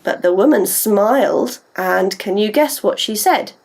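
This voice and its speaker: A British woman telling a story to young children in an animated way and coaxing them to join in. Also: medium pitch, a little slow, vocal fry.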